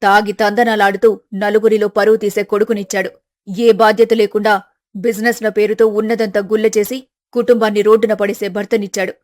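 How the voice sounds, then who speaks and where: A woman's voice: mid-range voice, little pitch movement, fairly quick, a little mellow, close to an everyday voice. A woman voicing an angry, bitter middle-aged mother in a family story, harsh and accusing in manner.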